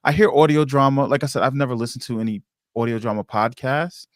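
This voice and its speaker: A man interviewing casually in a mid-range voice. He speaks informally and haltingly, circling toward his point, with a very dry sound free of room echo. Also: medium pitch. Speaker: podcast interviewer.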